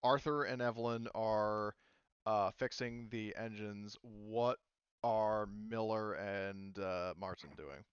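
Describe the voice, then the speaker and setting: A man serving as game master for a tabletop roleplaying session, who stops and restarts often as he explains the rules. His voice is smooth and clear. The recording is dry and dull, like lo-fi online call audio. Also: on the low side, steady tone, slow, dry recording. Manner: hesitant, lots of ums and restarts.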